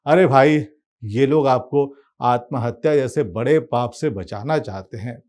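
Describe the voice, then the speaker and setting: A man's voice: medium pitch, a little slow, darkish tone. A man presenting a satirical monologue to viewers in a wry, conversational storytelling manner.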